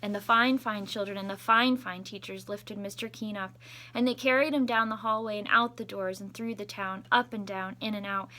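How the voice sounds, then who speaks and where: A woman's voice: mid-range voice, steady tone, normal speed, sharp tone, a little hiss or hum. A woman reading a children's picture book aloud expressively, adding chatty asides as a school storytime reader.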